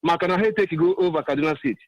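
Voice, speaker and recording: A man's voice: on the high side, racing, dry recording, highs cut off. A man with a Nigerian Igbo accent, speaking emphatically and repetitively as a caller giving an impassioned political monologue on a radio phone-in. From Ebonyi State, Nigeria.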